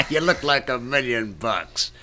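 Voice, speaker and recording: An actor playing a glib, patronizing business owner in a radio drama, brash, folksy and condescending. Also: male voice, mid-range voice, pushed and bright, full character voice. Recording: noisy vintage radio drama recording.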